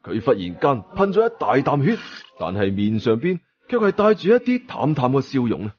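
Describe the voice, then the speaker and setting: A man's voice: mid-range voice, a little fast, slightly rough, dull audio. A man with a Hong Kong Cantonese accent narrating a story dramatically, acting out the dialogue as he goes. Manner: animated, acts out dialogue.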